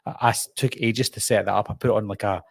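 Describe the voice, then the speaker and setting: Gravelly Glasgow man chatting on a stream. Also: lowish voice, steady tone, quick pace, dry recording. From Scotland. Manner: rambling, chatty, lots of ums and restarts. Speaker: YouTube host. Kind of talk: live stream chat reading comments.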